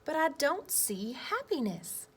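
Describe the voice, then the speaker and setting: A woman's voice: sits high, very animated, takes it slowly, pitched above the performer's own voice, heavily acted. A woman voicing a young boy from a storybook, earnest and plaintive as he searches, with emphatic delivery. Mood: disappointed, searching. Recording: clear background noise.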